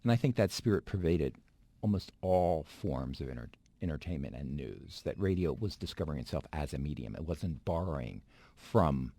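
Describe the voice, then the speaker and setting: American male interviewee, reflective commentator, dark gravelly tone, heavy fry. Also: rumbling low, lively intonation, normal speed. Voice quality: gravelly, husky. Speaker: radio historian interviewee.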